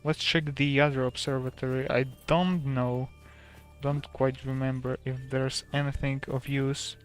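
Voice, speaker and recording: A soft-spoken streamer with a Slovak accent talks slowly and calmly. His pitch stays very even, and faint game music plays underneath him. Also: clean tone. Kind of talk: casual gameplay commentary.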